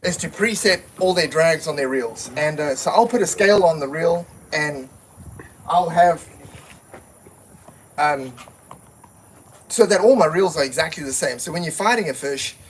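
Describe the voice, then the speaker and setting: A man's voice: fairly high pitch, animated, moderate pace, long pauses, a little hiss or hum, low-quality recording. A man giving a casual, practical talk at a seminar, plainspoken and given to repeating himself while he explains. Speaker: seminar presenter.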